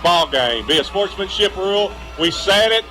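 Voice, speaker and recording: A man's voice: sits high, animated, moderate pace, sharp tone, soft background music, poor audio. An American man giving unscripted, informal color commentary at a football game, emphatic and prone to repeating himself. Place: stadium broadcast position at a high school game.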